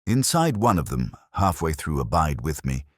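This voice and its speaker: A man reading a first-person detective story as narrator, in a measured, brooding, reflective way, close to a studio mic. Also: rumbling low, very animated, no echo.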